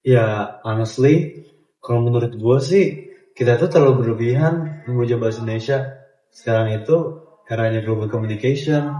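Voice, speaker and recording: A man's voice: mid-range voice, normal speed, mellow, close to an everyday voice. A young man acting a stubborn male student in an amateur school skit, chatting casually and dropping English words into his speech. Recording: roomy, dull, music underneath.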